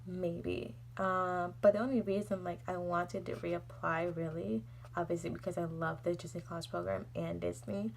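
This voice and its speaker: A woman's voice: lowish voice, steady tone, moderate pace, creaky, few pauses, slight background noise. A young woman telling a personal story in a casual, exasperated, rambling vlog style. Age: young adult. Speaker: vlogger.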